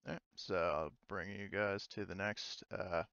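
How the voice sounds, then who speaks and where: A man narrating a tabletop roleplaying game as game master in a casual, halting, self-correcting way. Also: deep voice, fairly even intonation, gravelly, bright, forceful tone, clean tone, no echo, highs cut off.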